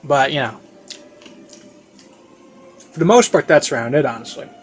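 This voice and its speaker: A man's voice: mid-range voice, animated, normal speed. A man giving a casual, unscripted review, rambling informally and repeating phrases for emphasis. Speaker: video reviewer. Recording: classical music playing behind voice. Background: baroque classical music.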